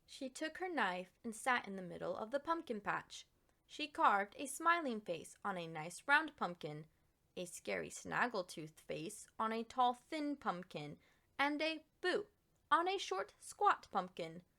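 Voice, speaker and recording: A woman reading a children's picture book aloud as an expressive narrator, with dramatic pauses and emphasis. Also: on the high side, creaky.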